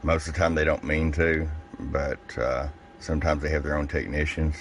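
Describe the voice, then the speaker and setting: A man giving a casual, step-by-step hands-on product review in a plain-spoken, folksy way, pausing between short phrases. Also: rumbling low, flat delivery, soft background music. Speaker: radio seller demonstrating a product.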